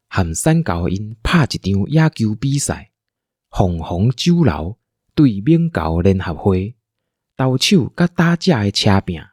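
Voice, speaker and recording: A man with a Taiwanese accent narrating a scripted story as an audio drama narrator, in a husky voice. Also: on the low side, a little mellow, dry recording, studio quality.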